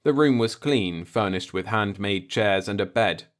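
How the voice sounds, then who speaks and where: A man's voice: on the low side, unhurried. A man reading scripted fiction as an audiobook narrator.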